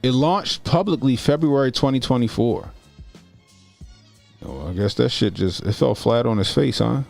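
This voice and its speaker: A man's voice: medium pitch, lively intonation, normal speed, gravelly, a little mellow, soft background music. A man in his forties doing casual livestream commentary as a YouTube host, talking informally and repeating phrases for emphasis. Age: 40s.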